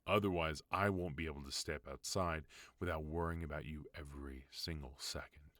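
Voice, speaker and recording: A voice actor playing a protective, tender yet dangerous mafia boss husband in an intimate ASMR roleplay, speaking softly and unhurriedly into a close microphone. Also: male voice, very deep voice, fairly even intonation, no echo. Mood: firm, protective. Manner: soft, intimate, unhurried delivery.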